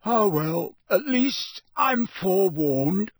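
A man voicing a cheeky, skeptical teenage boy with a loud, blunt, mocking way of talking, in a storybook reading. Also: fairly high pitch, sing-song, moderate pace, slightly rough, no echo, clean studio sound, voice pushed up. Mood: casual.

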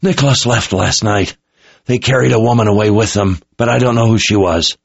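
A captured enemy officer from a fantasy audiobook, acted by a male narrator, speaks well above the narrator's usual pitch. He is a thuggish soldier, dazed but smug and menacing, on a slightly muffled recording. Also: creaky, no echo. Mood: dazed, frightened. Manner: mumbling, halting. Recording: muffled, dull-sounding recording.

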